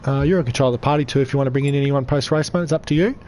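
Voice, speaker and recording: A man commentating an online racing league, croaky from losing his voice, upbeat and chatty with viewers. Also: mid-range voice, rapid-fire, a little mellow, a little hiss or hum. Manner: upbeat, chatty, reads out chat. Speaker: race commentator. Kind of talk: live sim racing commentary.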